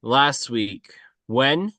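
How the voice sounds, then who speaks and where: A man's voice: mid-range voice, lively intonation, extremely slow, pushed and bright, dry recording, heavily acted. A man playing an intense, suspicious agent on the run, interrogating and demanding in a screenplay table read. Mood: shaken, menacing.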